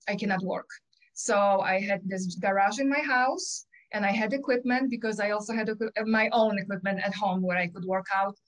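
A woman's voice: medium pitch, rough recording. A middle-aged woman with a Polish accent, a gym owner telling her story casually in an interview, with many fillers and restarts. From Poland. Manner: chatty, many fillers and restarts, quotes herself. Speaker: gym owner and personal trainer.

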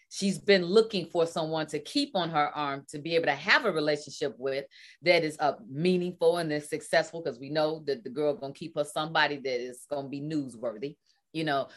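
A woman with a somewhat low voice talks bluntly on a casual panel show. She is candid and emphatic, and she leans into her points. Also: a bit bright. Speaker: talk show co-host.

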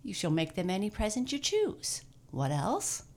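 Woman doing a brisk, hearty old Santa. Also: medium pitch, wildly swooping pitch, darkish tone, voice pushed up. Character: jolly, brisk old Santa Claus, kindly.

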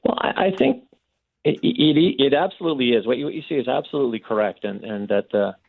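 A Canadian man gives earnest interview answers on radio in a mid-pitched voice. He is halting and doubles words for emphasis. The sound is dry. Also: animated, gravelly, dry recording, phone-quality sound. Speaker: interview guest, abuse survivor and advocate.

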